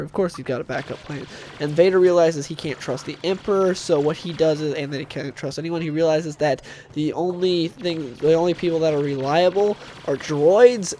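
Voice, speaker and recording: A man's voice: a little high, a little mellow, a little hiss or hum. A young man with a cold talks with a stuffed-up nasal voice, rambling casually through a comic book review with many fillers and restarts.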